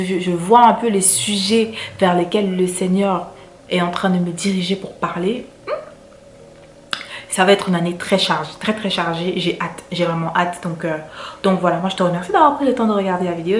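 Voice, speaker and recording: A woman's voice: on the low side, fairly even intonation, normal speed, slight background noise. Echoey-voiced woman vlogger, grateful chat. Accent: French. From Cameroon. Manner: warm, grateful, repeats words for emphasis. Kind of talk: casual vlog chat.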